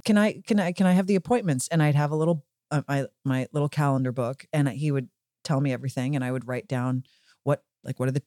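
Female podcast host, mellow dark timbre, dry studio sound, casual and anecdotal delivery. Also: sits low, no echo. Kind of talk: casual chat.

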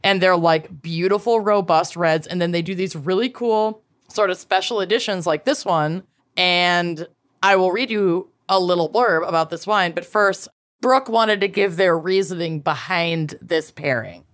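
A woman's voice: fairly low pitch, measured pace. A woman co-hosting a podcast, bantering playfully with her friends. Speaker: podcast co-host. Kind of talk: casual chat.

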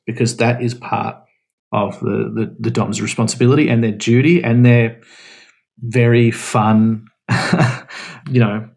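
A man with a gravelly, creaky voice and a middle pitch talks through advice in a relaxed, explanatory way. He restarts his sentences often as he thinks aloud in a casual podcast chat. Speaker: guest answering questions.

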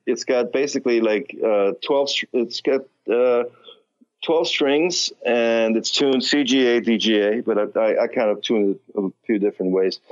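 A man's voice: medium pitch, fairly even intonation, moderate pace, highs cut off. A man with a light non-native accent, talking as a musician guest in a casual interview, rambling with many restarts and fillers.

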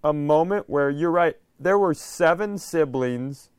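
An American man chatting casually as a podcast co-host, with halting delivery and self-deprecating humour. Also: on the high side, clear, resonant tone, no room sound, clean studio sound.

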